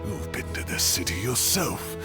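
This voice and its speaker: An actor playing an older male professor who is gentle and persuasive, with a gravelly voice and a slow, coaxing, wistful way of talking. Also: medium pitch, huge pitch swings. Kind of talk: game cutscene voice acting. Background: game music.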